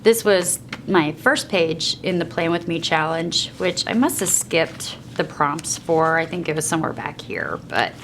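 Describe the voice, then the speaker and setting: Brief: young adult woman, congested from a cold, lowish voice, chatty, self-deprecating, light hiss behind. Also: lively intonation, measured pace, few pauses, a bit bright. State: stuffed up with a cold. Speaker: teacher and bullet journal vlogger. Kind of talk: casual flip-through narration. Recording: faint background noise.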